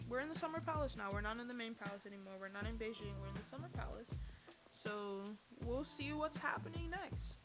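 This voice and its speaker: A woman giving a casual, animated recap of a TV drama, with profanity and slang, talking straight to her chat. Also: fairly high pitch, a little fast, loud music behind the voice. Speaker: TV series reviewer.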